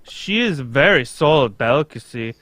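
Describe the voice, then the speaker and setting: A man's voice: mid-range voice, animated, normal speed, fairly bright tone, no echo, lightly acted. A man playing an anxious, pompous foreign king in a radio mystery, earnest, in stilted English that drops its articles. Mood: anxious, formal. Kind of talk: old-time radio mystery acting, read live.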